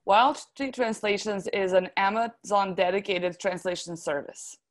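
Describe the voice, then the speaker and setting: A woman's voice: medium pitch, steady tone, normal speed. A woman from Serbia with a Serbian accent, talking casually and chattily as an interview guest. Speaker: interview guest, translation agency founder.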